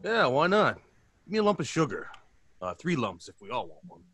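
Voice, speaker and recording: A husky, easygoing pal. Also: male voice, fairly high pitch, sing-song, fairly quick, a bit bright, no echo, full character voice. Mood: casual. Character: easygoing male drinking buddy of the writer. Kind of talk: old time radio drama acting.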